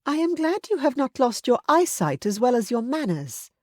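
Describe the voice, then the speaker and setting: A forthright middle-aged aunt, as a woman reader puts her on, scolds in a pointed, raised voice. Her lines swing with a lively rise and fall. The sound is close and dry. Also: a little high, moderate pace, no room sound, pitched above the performer's own voice. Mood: tart.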